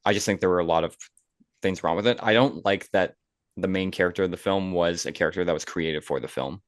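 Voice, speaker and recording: A man's voice: sits low, fast talker, no echo, studio quality. A man hosting a podcast, talking casually and with enthusiasm and opinions. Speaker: podcast host. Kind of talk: casual film discussion.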